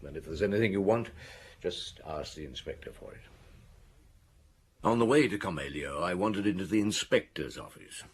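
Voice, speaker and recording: An actor playing a senior police detective in a British radio drama, with a wry, measured, authoritative manner. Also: male voice, low voice, lively intonation, breathy edge, full character voice. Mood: courteous, brisk, then matter-of-fact. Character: dry, unhurried senior male police detective. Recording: noisy radio drama recording.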